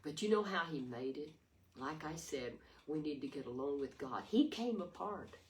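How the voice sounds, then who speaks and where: An older woman with a raspy voice giving an earnest, rambling devotional talk full of restarts. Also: sits low, lively intonation, moderate pace. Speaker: devotional video host. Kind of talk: informal devotional sermon. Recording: distant mic with faint noise.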